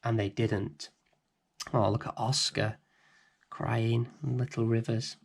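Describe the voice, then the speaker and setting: A man reading a children's bedtime story aloud as host, in an expressive, dramatic, theatrical manner. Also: mid-range voice, creaky. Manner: dramatic, theatrical, deliberate phrasing.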